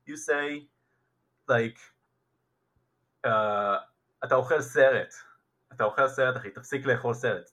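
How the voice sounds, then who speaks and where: A man's voice: medium pitch, very fast, long pauses, pushed and bright. A young Israeli man speaking English with an Israeli accent, a Hebrew native, chatting playfully and acting out dramatic quotes. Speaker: guest teaching slang. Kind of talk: casual chat.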